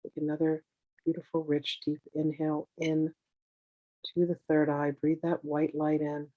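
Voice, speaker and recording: Meditation guide, soothing, unhurried, level intonation, dry sound, dull lo-fi recording. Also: mid-range voice, completely flat delivery, no room sound. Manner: soothing, unhurried, gently instructing with drawn-out phrases. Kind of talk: guided meditation, slow instruction.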